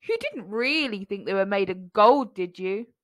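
A woman's voice: mid-range voice, big pitch swings, normal speed, a bit bright. A woman voicing a boastful, teasing golden hen in a storybook.

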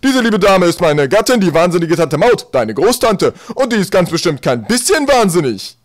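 Mad old uncle from a storybook, loud and bossy, pitched up, drags out his words. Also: sing-song. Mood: outraged. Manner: loud, brusque, drawn-out commands. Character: gruff, bellowing, mad great-uncle, elderly and eccentric.

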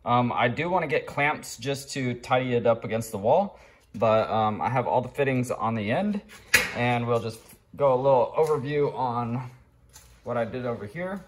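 A man's voice: mid-range voice, lively intonation, moderate pace. A man vlogging casually and informally, walking viewers through a hands-on DIY project. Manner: informal, addressing viewers as guys. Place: home garage workshop. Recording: handheld recording with clear background noise.

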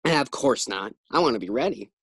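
A man's voice: medium pitch, expressive, brisk, a bit bright, breathy edge, no echo, studio quality. A man playing a flustered, boastful, naive husband who stammers when rattled, in an old-time radio sitcom style. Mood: earnest, boastful.

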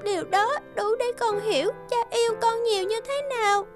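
A woman's voice: very high voice, moderate pace, over music. A woman voicing a sweet, sentimental little girl in a children's cartoon. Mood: tender, wistful.

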